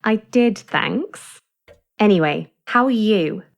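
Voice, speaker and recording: An actress in an audio drama playing a kindly, brisk female carer, practical and gently firm. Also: medium pitch, expressive, gravelly, dull audio. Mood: kindly, hurried. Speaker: actor.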